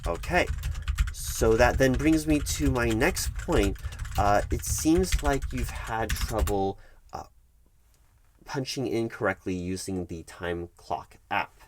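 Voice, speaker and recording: A man playing a stern but patient HR manager in an ASMR roleplay, speaking formally and haltingly, repeating words for emphasis. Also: lowish voice, expressive, slight background noise. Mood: measured, concerned. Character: stern, patient male HR manager reprimanding an employee. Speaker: recruiting HR manager. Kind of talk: one-sided ASMR roleplay of a work meeting.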